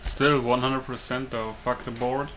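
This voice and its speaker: Casting: male let's-player, mid-pitched, offhand game commentary, noticeable background noise. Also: level tone, unhurried. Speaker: gaming video maker. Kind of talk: casual playthrough commentary.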